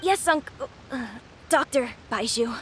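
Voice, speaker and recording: A woman's voice: high voice, takes it slowly, a bit bright, a little hiss or hum. A woman voicing an earnest, worried young boy in a video game. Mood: surprised, eager.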